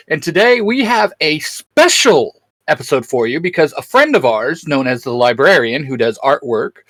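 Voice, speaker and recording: A man's voice: medium pitch, fairly bright tone, dry recording. Young guy hosting a youtube show, slangy, voice goes up and down loads, dry close sound. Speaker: YouTube channel host. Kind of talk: casual chat.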